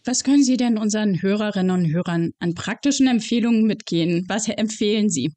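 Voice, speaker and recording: A woman's voice: medium pitch, fairly quick, dark tone. A woman co-hosting a podcast interview, moderating in a friendly, upbeat way. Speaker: podcast co-host.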